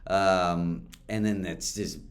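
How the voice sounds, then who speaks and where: A man hosting an interview, musing in a relaxed, unhurried way. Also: deep voice. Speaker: interview host. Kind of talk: casual interview chat.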